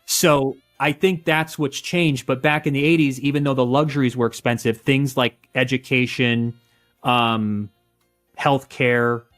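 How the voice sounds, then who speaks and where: A man's voice: medium pitch, steady tone, a little slow, a bit bright, faint music behind. A man speaking as an interview guest, explaining conversationally and emphatically, point by point. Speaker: interview guest, real estate agent.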